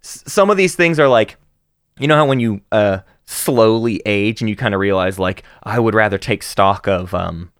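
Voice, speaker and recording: Low-voiced man chatting about books. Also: normal speed, clean tone, dry recording, studio quality. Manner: animated, laughs often, many fillers and restarts. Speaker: podcast co-host. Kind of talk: casual book discussion.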